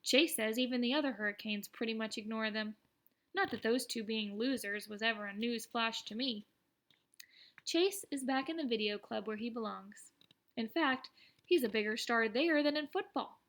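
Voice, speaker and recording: An excitable teenage schoolboy voiced by a woman tells his own story in a fairly high voice. He is boastful yet self-deprecating, with a wry, conversational audiobook delivery that stays animated. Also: normal speed. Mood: proud, chatty. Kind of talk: first-person audiobook narration.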